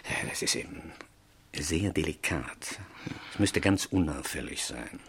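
An actor playing a pompous, easily panicked English castle lord in a radio crime comedy, blustering and stammering with agitated repetitions. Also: male voice, very deep voice, animated, a little slow, creaky, fairly bright tone, breathy edge, heavily acted. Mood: furtive, scheming.

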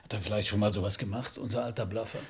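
An actor playing a battered middle-aged private detective, wry, laconic and sardonic, in a noir radio play. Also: male voice, lowish voice, steady tone, racing. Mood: needling. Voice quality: husky, gravelly. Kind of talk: noir radio drama acting and first-person narration.

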